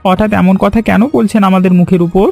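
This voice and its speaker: A man plays an earnest elder brother in a cartoon story. His voice is pitched high for a man, soft-edged and anxious, with faint music behind it. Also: steady tone, quick pace, gravelly. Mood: alarmed. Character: anxious, kindly elder brother, an office worker. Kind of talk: animated story dialogue.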